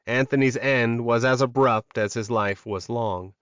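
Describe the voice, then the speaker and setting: A man's voice: mid-range voice, a little slow, a bit bright, smooth, no echo, highs cut off. A man reading scripted fantasy fiction as a dramatic audiobook narrator.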